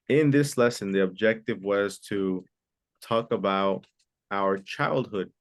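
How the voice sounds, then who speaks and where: A man teaching an online English class, patiently repeating key phrases and sometimes explaining in Spanish. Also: on the low side. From Mexico.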